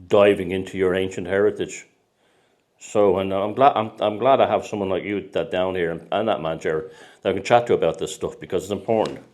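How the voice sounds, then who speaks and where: Irish guy with a lowish voice, chatting loose, keeps restarting his sentences. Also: darkish tone. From Ireland. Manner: rambling, many restarts and repeated words. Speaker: author and host. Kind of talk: casual conversation.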